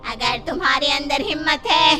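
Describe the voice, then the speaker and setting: A woman's voice: sits high, normal speed, sharp tone, soft background music. A woman voicing a frightful female doll spirit in a horror story, threatening and defiant. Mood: menacing.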